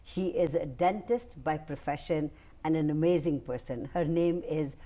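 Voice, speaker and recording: Woman host, slightly low and mellow, admiring and encouraging. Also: normal speed. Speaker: talk show host. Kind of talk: interview hosting.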